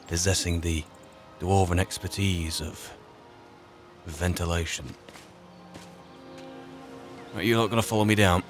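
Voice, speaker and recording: A man roleplaying a musing, wry adventurer in a fantasy game, speaking in a halting, dry, reflective way. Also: low-pitched, steady tone, music in the background. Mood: musing, planning. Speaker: player narrating a roleplay. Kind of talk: in-character game roleplay monologue.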